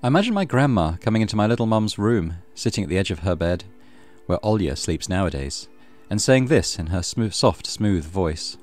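A man's voice: lowish voice, sing-song, brisk, few pauses, a little mellow, soft background music. A man hosting a let's play, reading story narration aloud with casual commentary.

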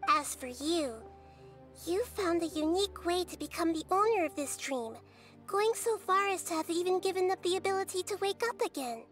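A woman voicing a small, wise, childlike goddess with a measured, knowing manner in an anime game. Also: extremely high pitch, animated, a bit bright, faint music behind. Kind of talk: anime video game voice acting.

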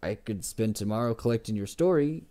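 An earnest male story collector from an audiobook tale, acted out by a man reading. He is nervous yet slightly puffed up, eager and placating, with a soft-edged, mellow voice. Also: fairly low pitch, animated, a little fast, lightly acted. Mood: anxious, placating. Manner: eager, hesitant, pleading.

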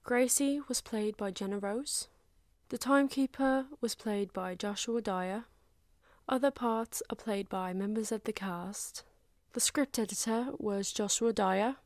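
A woman's voice: mid-range voice, fairly even intonation, measured pace, no echo, clean studio sound. A woman reading scripted closing credits for an audio drama.

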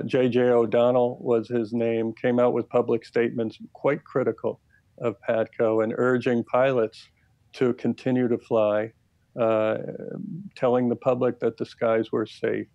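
An American man in his fifties giving measured, deliberate explanations as an expert interview guest over a video call headset. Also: mid-range voice, creaky, warm tone, no room sound. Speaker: expert guest, labor history professor. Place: plain room indoors. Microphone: earbud headset on video call. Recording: muffled, lo-fi video call audio.

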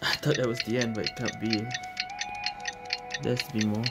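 A woman's voice: extremely low pitch, little pitch movement, moderate pace. A woman game streamer giving casual playthrough commentary, muttering her reactions as she plays. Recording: loud game music behind the voice.

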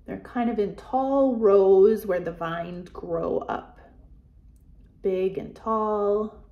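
An American woman in her forties leading a children's Bible storytime as pastor, speaking in simple, deliberate phrases meant for young children. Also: mid-range voice, narrow, tinny audio. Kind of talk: children's storytime, reading and explaining. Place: small office, indoors.

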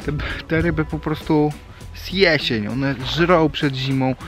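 A man's voice: a little high, unhurried, faint music behind. A man in his thirties filming a fishing vlog outdoors by a small river, chatting and explaining as he fishes. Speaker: fishing vlogger. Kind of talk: casual outdoor vlog talk.

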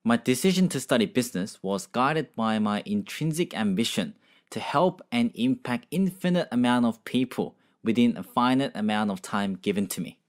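A man's voice: medium pitch, animated, normal speed. A man in his twenties, a university student speaking in a formal, rehearsed way into a studio mic. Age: 20s. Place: small home studio. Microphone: studio mic in front.

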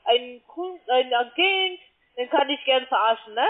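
High-pitched woman on the phone. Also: lively intonation, moderate pace. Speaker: call centre sales agent. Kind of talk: unscripted sales phone call. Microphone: telephone. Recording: muffled tinny phone line.

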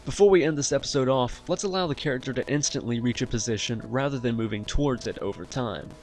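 A man hosting a software tutorial, explaining each step in an instructional way. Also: medium pitch, fast, faint music behind. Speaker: tutorial host. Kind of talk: software tutorial narration over screen recording.

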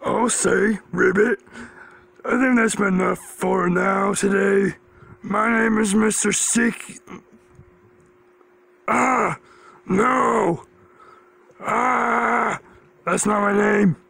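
A cartoon frog creature croaks along extremely slowly. The voice is raspy and thick with gravel, halting and drawn out from phrase to phrase. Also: male voice, high voice, steady tone, bright, forceful tone, dry recording, exaggerated voice. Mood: muddled.

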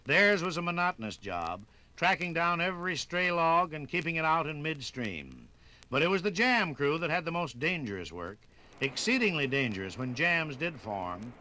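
A man's voice: fairly high pitch, very animated, normal speed, bright, forceful tone. An American man narrating a scripted 1950s radio documentary in a dramatic, folksy storytelling manner.

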